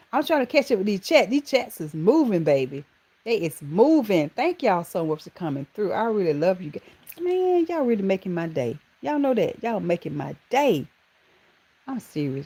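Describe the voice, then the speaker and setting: A woman's voice: on the low side, slightly rough. A woman from Georgia with a Southern accent, hosting a casual livestream and greeting viewers by name, calling them baby and honey. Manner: affectionate, calls viewers baby and honey, animated exclamations. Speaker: livestream host.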